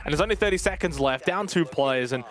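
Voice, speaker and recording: A man's voice: on the high side, lively intonation, fast, fairly bright tone. A man commentating live on an esports match, switching between play-by-play and conversational analysis.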